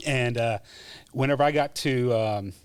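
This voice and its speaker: A man, a former EMT, telling his life story in a relaxed, chatty way as a podcast guest, with frequent fillers and laughs. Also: medium pitch, measured pace, clear, resonant tone. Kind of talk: casual interview storytelling.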